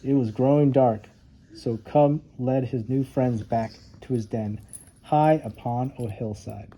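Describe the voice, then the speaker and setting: A man reads a storybook aloud for children. His voice is very dark and mellow, and he leans on key words for dramatic effect. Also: mid-range voice, measured pace, poor audio. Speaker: storytime reader.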